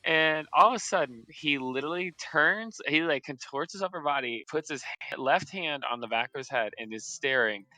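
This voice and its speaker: A man's voice: fairly high pitch, lively intonation, normal speed, hardly any pauses, pushed and bright, dry recording, poor audio. A young man telling a story casually on a stream, rambling with many restarts and fillers and often breaking into laughter. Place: indoors at a streaming setup.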